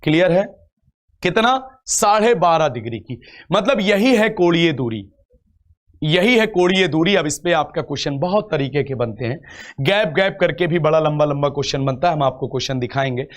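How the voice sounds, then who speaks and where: A man's voice: a little high, expressive, normal speed. A man teaching an exam class step by step, repeating phrases and asking rhetorical questions. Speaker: reasoning teacher for exam coaching. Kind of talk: live online lecture, explaining step by step.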